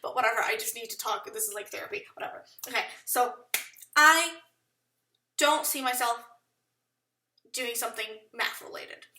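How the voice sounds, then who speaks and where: A woman's voice: fairly high pitch, normal speed, long pauses, sharp tone, roomy sound, recorded from a distance, a little hiss or hum. A young woman from Portugal rambling self-consciously to the camera in a casual vlog, laughing often as she thinks aloud. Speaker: vlogger.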